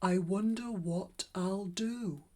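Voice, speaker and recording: A gentle old clown from a children's storybook, voiced by a woman reader. He is sad and solemn, taking his words slowly in a husky, breathy voice. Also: mid-range voice. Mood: wistful.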